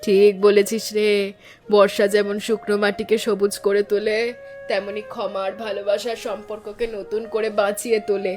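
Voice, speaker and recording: A woman playing a gentle, wise, doting village aunt in a cartoon story, with a tender, reflective way of talking. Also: medium pitch, flat delivery, normal speed, lightly acted. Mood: loving. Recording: music under the voice.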